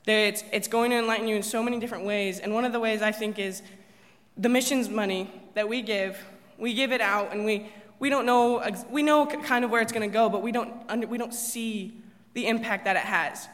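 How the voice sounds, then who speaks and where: A man's voice: sits high, fairly even intonation, fairly quick, a bit bright. A man joking and rambling, with many restarts, as he tells his church about a mission trip. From born in Uganda, raised in America. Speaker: church member giving a mission trip report. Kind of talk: informal testimony to a congregation. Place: church sanctuary. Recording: long echoey hall reverb.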